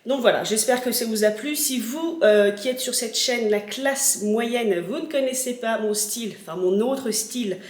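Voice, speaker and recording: Chatty woman in her thirties, rather high voice, a bit stuffy with a cold, lots of fillers, recorded in an echoey kitchen. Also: normal speed, hardly any pauses. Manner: chatty, rambling, many fillers. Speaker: vlogger. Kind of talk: casual unboxing chat. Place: home kitchen.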